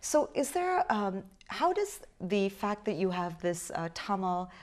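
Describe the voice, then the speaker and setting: A woman's voice: medium pitch, sing-song, unhurried. A woman from Canada hosting a TV interview, asking chatty questions that often end with "right?". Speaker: TV host.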